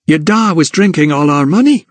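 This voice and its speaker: Man playing a fierce mother, scolding, indignant, high and loud. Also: lively intonation, moderate pace, no room sound, lightly acted. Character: gaunt, fierce, defensive mother.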